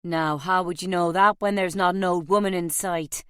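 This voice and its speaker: A woman voicing a tough but charming, flirtatious Irishman with a soft voice, in an audiobook story. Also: fairly low pitch, fairly even intonation, normal speed, smooth, no echo, clean studio sound, close to an everyday voice. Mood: warm, flirtatious.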